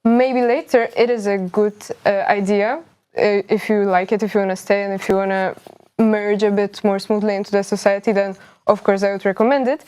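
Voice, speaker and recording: A woman's voice: mid-range voice, steady tone, brisk, warm tone, smooth. A young woman from Poland, a university student guest, explaining things eagerly in casual chat. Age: young adult.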